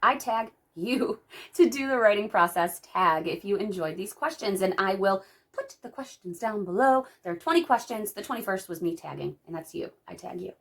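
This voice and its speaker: A woman in her thirties, hoarse from a cold, reading out writing questions and answering them in casual chat. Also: mid-range voice, lively intonation, moderate pace. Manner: chatty, reads out questions then answers them. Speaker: writing vlogger. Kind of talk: casual talk-through of a tag video.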